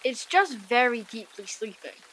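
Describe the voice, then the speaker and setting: A woman voicing an unheroic, self-doubting young Viking boy in an audiobook, expressive and self-deprecating. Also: on the high side, unhurried, husky, exaggerated voice, rough recording. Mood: defensive. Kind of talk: audiobook character acting.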